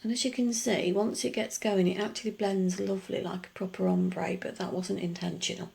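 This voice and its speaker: A middle-aged woman talking through a nail art tutorial in a chatty, rambling way, her voice gruff from just waking up. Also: medium pitch, steady tone, a little fast, a little mellow. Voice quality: gruff, gravelly. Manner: chatty, rambling explanations with many asides. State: just woken up. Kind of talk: casual tutorial voice-over.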